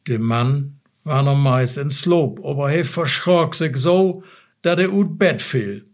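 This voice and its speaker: Slow, halting man with a Low German accent. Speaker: guest reader. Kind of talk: reading a fairy tale aloud.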